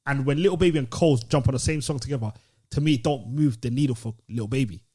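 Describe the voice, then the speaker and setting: A man's voice: mid-range voice, fast talker, darkish tone, husky. A man with a London accent co-hosting a podcast in a casual, slangy debate style. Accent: British, London. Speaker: podcast co-host.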